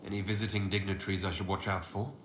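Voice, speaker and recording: A resolute male leader as an actor voices him in a fantasy video game. His voice is on the low side, composed and formal, heard in an echoey space with faint noise. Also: little pitch movement, quick pace, natural voice. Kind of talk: scripted video game dialogue.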